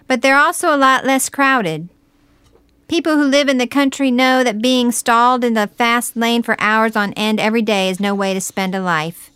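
Woman, higher-pitched, crisp and clear, dry sound, scripted folksy narration, measured, plain-spoken. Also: fairly bright tone, no echo.

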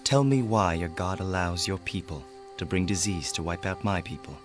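Man playing a solemn, low-voiced Native man. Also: music in the background, lightly acted. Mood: bitter, grieving. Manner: solemn, deliberate. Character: wounded, bitter, dignified Native man, formerly enslaved. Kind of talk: radio drama acting.

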